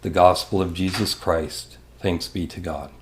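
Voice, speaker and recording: Male scripture reader, low register, solemn and measured church delivery. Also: steady tone.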